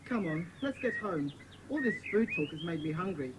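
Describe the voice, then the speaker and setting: A woman playing a bossy, stern young schoolboy who leads a children's club in a dramatised audiobook, commanding and brisk. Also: on the low side, expressive, heavy background noise, close to an everyday voice.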